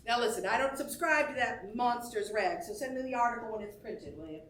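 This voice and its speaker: An actress playing a brassy, playful vaudeville star, trading good-humored wisecracks in a staged reading. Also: female voice, fairly high pitch, expressive, fairly quick, fairly bright tone. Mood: friendly, playful. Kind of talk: theatrical acting in a staged musical reading. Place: indoor staged reading, recorded from a distance. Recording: distant stage mic, roomy, faint noise.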